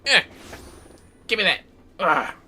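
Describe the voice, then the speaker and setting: Guy streaming a game, super animated n sweary, hams it up, music in the back. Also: high-pitched, slow. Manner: sweary, playfully theatrical. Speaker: livestreaming gamer. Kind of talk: casual livestream gaming chat.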